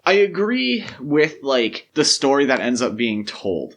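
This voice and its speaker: A man's voice: mid-range voice, big pitch swings, unhurried, a bit bright. A man co-hosting a podcast, discussing film casually in an analytical, explanatory way. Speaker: podcast co-host.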